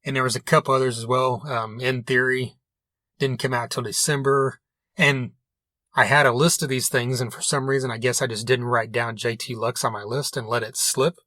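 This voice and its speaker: A man's voice: mid-range voice, flat delivery, normal speed, sharp tone, no room sound, studio quality. A man hosting a podcast, rambling casually and correcting himself as he introduces an interview. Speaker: podcast host.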